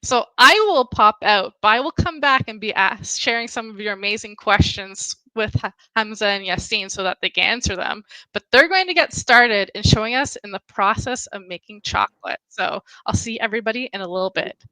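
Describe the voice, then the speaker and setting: A woman hosting an educational online tour who gives upbeat, clear announcements. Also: mid-range voice, animated, a little fast, hardly any pauses, pushed and bright, no room sound, low-quality recording. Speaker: event host. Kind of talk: scripted live-stream hosting.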